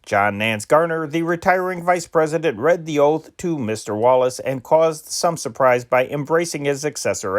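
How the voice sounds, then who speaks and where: A man reading old newspaper news dispatches aloud in an amateur style, with occasional slips and restarts. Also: fairly high pitch. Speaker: reader of historic news dispatches.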